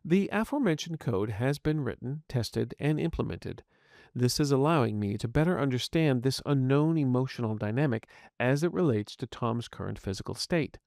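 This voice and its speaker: A man's voice: mid-range voice, animated, normal speed, creaky, darkish tone, no room sound, studio quality. A man voicing a polite, curious artificial intelligence medical agent in an audio story, speaking in formal, precise and courteous wording. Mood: calm, reassuring. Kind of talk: scripted audio fiction dialogue.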